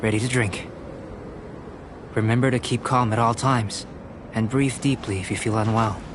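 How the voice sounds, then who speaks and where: A man's voice: medium pitch, steady tone, background noise, lightly acted. Cool, analytical young alchemist, played by a man. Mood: composed, musing. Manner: measured, analytical, precise, professorial. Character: cool, cerebral young male alchemist, calm and detached. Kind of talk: anime-style video game voice acting.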